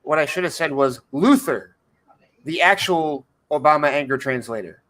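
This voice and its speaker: Bright, higher-pitched man chatting haltingly. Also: lively intonation. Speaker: livestream panelist. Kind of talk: casual chat.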